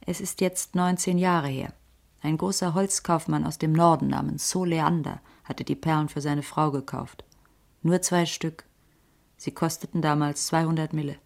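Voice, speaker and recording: Low, gravelly, world-weary streetwise woman. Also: steady tone, normal speed, a little mellow. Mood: knowing, matter-of-fact. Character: careworn, streetwise female ex-cop with a tip. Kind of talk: radio drama dialogue, hard-boiled crime.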